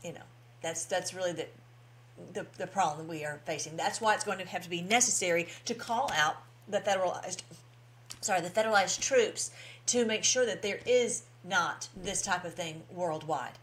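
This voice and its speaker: A woman's voice: lowish voice, normal speed, fairly bright tone. Emphatic, folksy woman giving informal commentary. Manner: folksy, emphatic, repeats phrases for stress. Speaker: host of a political commentary show. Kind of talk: informal political commentary to followers, reading out posts.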